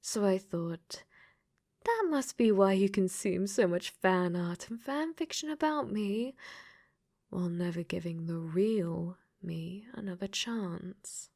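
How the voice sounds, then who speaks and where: Give the slow, lingering voice of a possessive yandere girl from a dating game, acted by a woman. She is an AI character speaking softly in ASMR roleplay, coaxing and teasing, sometimes sinking to a whisper. Her sweetness is clingy and controlling. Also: fairly high pitch. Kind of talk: soft ASMR audio roleplay.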